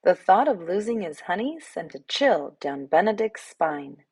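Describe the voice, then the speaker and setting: A woman storyteller reads a picture book for children at an unhurried pace. Her voice is husky with some creak, and she reads expressively. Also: lowish voice, big pitch swings, darkish tone, dry recording. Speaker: read-aloud host, storyteller.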